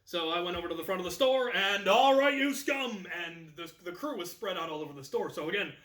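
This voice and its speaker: American man, thirties, higher-pitched bright voice, animated casual storyteller, echoey room. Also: fast. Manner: animated, acts out quoted lines. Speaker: video host and storyteller. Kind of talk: casual anecdotal storytelling to camera. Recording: echoey room, distant microphone.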